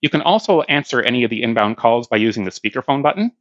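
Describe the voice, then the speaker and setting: A man's voice: medium pitch, expressive, fast talker, fairly bright tone, dry recording, lo-fi sound. A man giving a hands-on product demonstration, explaining plainly step by step.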